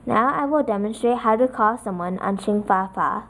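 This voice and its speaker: A woman presenting a software tutorial, reading from a script as narration. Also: mid-range voice, moderate pace, slight background noise, low-quality recording.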